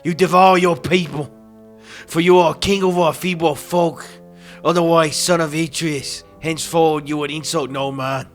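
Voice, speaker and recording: A man's voice: fairly high pitch, steady tone, moderate pace, close to an everyday voice. A man playing a proud, aggrieved Greek warrior hero in a dramatized audiobook, declaiming in archaic, formal speech over music. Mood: scornful rage. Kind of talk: dramatized audiobook acting of epic verse.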